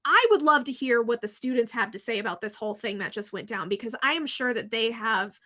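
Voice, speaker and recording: Female podcast host with a high, sharp-edged voice, emphatic delivery, conversational and informal. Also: fairly quick. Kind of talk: casual panel conversation.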